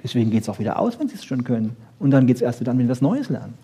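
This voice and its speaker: Guy doing a pop science lecture, kinda high but mellow n husky, dry humor, keeps asking questions. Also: very animated, rapid-fire. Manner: wry humour, rhetorical questions, frequent "ja?" tags. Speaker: lecturer on brain research. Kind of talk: lively popular science lecture to an audience.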